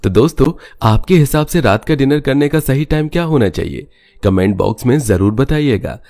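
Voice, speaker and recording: A man's voice: mid-range voice, animated, normal speed, creaky, warm tone. A man narrating a scripted moral story as a storyteller. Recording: music bed behind voice.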